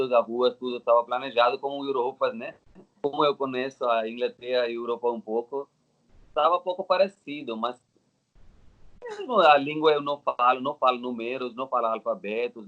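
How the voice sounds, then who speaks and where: Dull-sounding recording, Indian-accented man. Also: medium pitch. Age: 30s. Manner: halting, non-native Portuguese with simple phrasing. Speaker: interview guest. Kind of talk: casual personal storytelling. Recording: dull, lo-fi audio.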